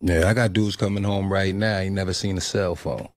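Low-voiced man in casual chat. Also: fairly even intonation, fairly quick, no echo, studio quality.

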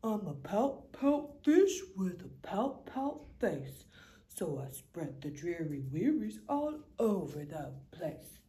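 A woman's voice: lowish voice, sing-song, slow, dark tone, voice pushed down. A woman voicing a gloomy male fish with a droning, mopey voice in a storybook reading. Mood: glum. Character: glum male pout fish, gloomy and sulky.

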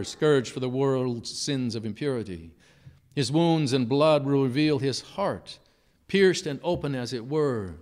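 Solemn priest preaching in church. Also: male voice, medium pitch. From United States. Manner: measured, solemn preaching with rhetorical questions. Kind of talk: gospel reading and sermon.

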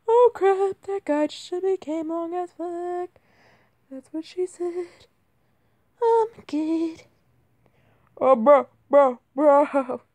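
A woman's voice: high voice, darkish tone, no room sound. A woman chatting through a mobile game in a casual, flustered way, her voice cracking, saying "bro" and "like" constantly. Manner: self-deprecating, easily flustered. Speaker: gamer. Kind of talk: casual mobile gameplay commentary.

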